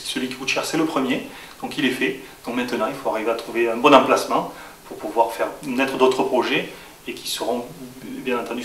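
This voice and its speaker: A man's voice: mid-range voice, fairly bright tone, recorded from a distance. A man from southwest France answering interview questions as a franchise shop owner. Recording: echoey room, off-mic, faint hiss.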